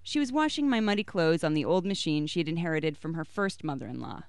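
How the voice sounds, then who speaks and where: Smooth-voiced woman reading a short story. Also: sits low, animated, fast, no echo, clean studio sound. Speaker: story reader.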